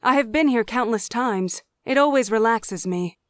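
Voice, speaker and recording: A woman playing a haughty young princess, rather high and very smooth in voice. She is imperious and sharp-tongued, in fantasy audio drama style. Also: normal speed, no room sound, studio quality, natural voice. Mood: teasing, haughty.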